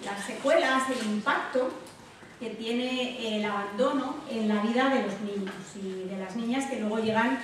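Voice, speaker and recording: A woman's voice: a little high, unhurried. A woman with an accent from Spain giving an informal, conversational public talk as a guest speaker. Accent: Spain Spanish. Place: echoey indoor hall at a public talk. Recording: very echoey hall, distant mic, background noise.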